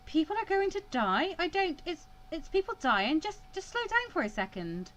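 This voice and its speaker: A woman's voice: very high-pitched, big pitch swings, moderate pace, a bit bright, pitched above the performer's own voice, exaggerated voice. A woman voicing an anxious, easily panicked young student mediator in a visual novel, stammering and exclaiming.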